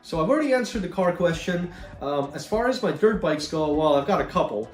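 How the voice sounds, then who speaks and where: A man's voice: a little high, fairly quick. A young man from Ukraine with a Canadian accent and a slight Slavic tinge, chatting casually as he answers viewer questions with lots of fillers. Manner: relaxed and chatty, many fillers. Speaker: gaming YouTuber. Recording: roomy echo, a bit far from mic, faint music.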